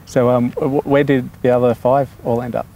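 A man's voice: mid-range voice, little pitch movement, normal speed, mellow, slight background noise, rough recording. Australian man hosting a friendly interview. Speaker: interview host. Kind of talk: casual interview.

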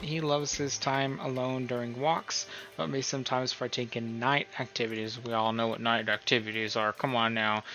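A man's voice: medium pitch, bright, forceful tone. A man talking informally through a tutorial, rambling a little as he thinks aloud. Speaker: video tutorial host. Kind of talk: casual tutorial commentary, explaining a role-play character profile.

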